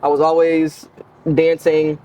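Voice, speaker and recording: A woman, a guest musician chatting in an animated, emphatic way. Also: deep voice, takes it slowly. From Louisiana and Houston, Texas. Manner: animated, emphatic, laughs often. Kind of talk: casual interview chat.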